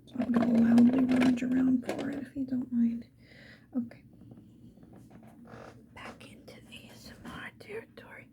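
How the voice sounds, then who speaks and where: A woman speaking mostly in a breathy whisper, chatting casually to the viewer in an ASMR get-ready style. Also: high voice, little pitch movement, normal speed, long pauses, heavy background noise. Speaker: ASMR video creator.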